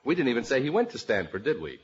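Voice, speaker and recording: A man's voice: medium pitch, wildly swooping pitch, quick pace, breathy edge. A man hosting a mid-century radio panel quiz, announcing in a formal, theatrical way with joking asides. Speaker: quiz show host. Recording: dull old radio recording.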